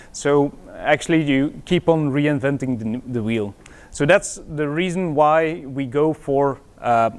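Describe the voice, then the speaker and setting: A middle-aged Belgian man presents at a business conference, his accent clear as he works through the talk. His voice is smooth and resonant and sits a bit high for a man. He pauses often on fillers and restarts his phrases. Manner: hesitant, many fillers and restarts. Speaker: conference speaker.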